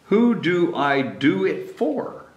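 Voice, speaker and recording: A man's voice: high voice, sing-song, takes it slowly, muffled recording, pitched above the performer's own voice, heavily acted. A man voicing a small, puzzled male earthworm in a children's storybook, with earnest questioning. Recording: roomy, echoing indoor sound.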